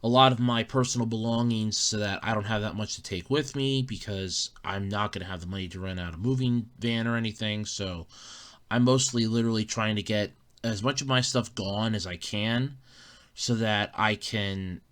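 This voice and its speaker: A man's voice: lowish voice, moderate pace, fairly bright tone. A man hosting a casual solo podcast, rambling with frequent fillers and restarts, his throat slightly scratchy. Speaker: podcast host.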